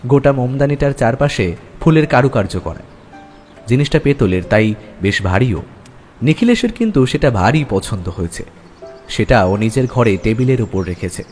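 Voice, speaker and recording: A man's voice: medium pitch, darkish tone. A man giving a scripted first-person narration of a story.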